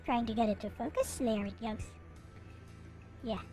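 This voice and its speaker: A woman with a clearly high-pitched voice talks through a casual show-and-tell. Her delivery is playful and informal, like a streamer presenting a collection. Music plays in the background as she speaks. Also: moderate pace, dark tone. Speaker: streamer showing collectible anime figures.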